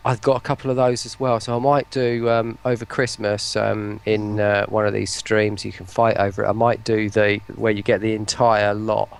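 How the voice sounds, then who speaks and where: A man chatting casually as a guest on a livestream, with a hesitant, halting delivery. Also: fairly low pitch, completely flat delivery, gravelly, clean tone. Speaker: guest, voice pack producer.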